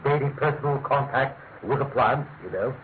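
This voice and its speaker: Man playing a pompous British senior official, middle-aged, mid-pitched, fussy, halting, emphatic, classic radio drama, tinny hissy recording. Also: close to an everyday voice. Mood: official, measured. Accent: British English. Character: pompous, fussy male senior government official, gravely insistent. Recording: tinny, narrow-band radio recording with hiss.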